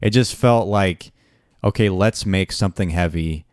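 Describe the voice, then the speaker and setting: A young man with a very low voice chats on a podcast, hesitating and circling back as he weighs his opinions. The recording is close and dry. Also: unhurried, darkish tone, smooth, no echo. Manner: hesitant, restarts, hedging opinions. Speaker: podcast co-host. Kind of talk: casual music chat.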